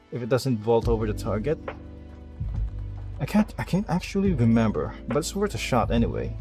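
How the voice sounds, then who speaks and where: A man giving casual gameplay commentary, thinking aloud and muttering half-finished plans with some mild swearing. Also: medium pitch. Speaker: video game streamer.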